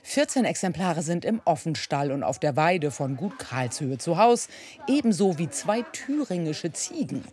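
A woman narrates a light scripted feature and weather forecast. Her voice is very low for a woman, with animated, swooping intonation. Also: a little slow.

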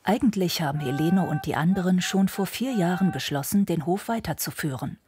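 A woman reading a scripted news report in a calm, measured way. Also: fairly low pitch, slight background noise. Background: music bed.

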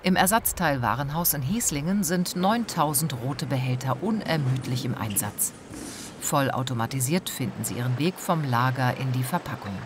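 A man reading scripted documentary narration in a calm, measured way. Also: medium pitch, background noise.

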